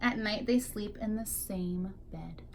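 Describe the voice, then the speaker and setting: A woman's voice: medium pitch, slow pace, creaky, darkish tone, slight background noise. A woman teacher reading a picture book aloud expressively to young children, drawing out key words for emphasis.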